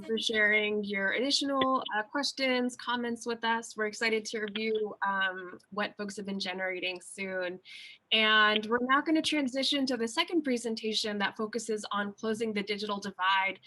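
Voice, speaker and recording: Bright-voiced woman moderating a webinar. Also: medium pitch, low-quality recording. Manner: friendly, brief transitions with fillers. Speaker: event moderator.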